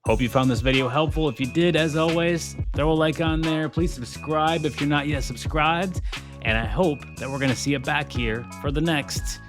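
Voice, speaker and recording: A man's voice: fairly high pitch, normal speed, over music. Enthusiastic man demoing headphones. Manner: enthusiastic, repeats points for emphasis. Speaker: tech reviewer. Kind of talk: product demo.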